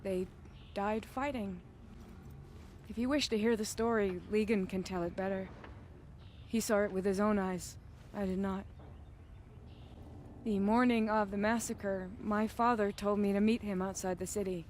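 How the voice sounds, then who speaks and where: A woman's voice: medium pitch, fairly even intonation, a little slow, a little mellow, a little hiss or hum, close to an everyday voice. A woman playing a proud, defiant young noblewoman hunter in a video game, firm and assertive, with bitterness and determination. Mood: grieving, bitter. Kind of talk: video game dramatic acting.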